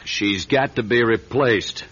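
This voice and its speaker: An actor playing a flamboyant, self-absorbed late-night talk host in a radio drama, with a theatrical, grandiose manner. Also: male voice, medium pitch, slow, fairly bright tone, lo-fi sound. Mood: cold, demanding. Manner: theatrical, mock-gallant and grandiose.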